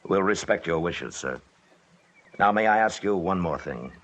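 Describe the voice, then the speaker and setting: An actor playing a steady, decisive expedition leader in a 1950s radio adventure, businesslike and authoritative as he acts and narrates. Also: low-pitched, moderate pace. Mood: respectful. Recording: noisy 1950s radio recording.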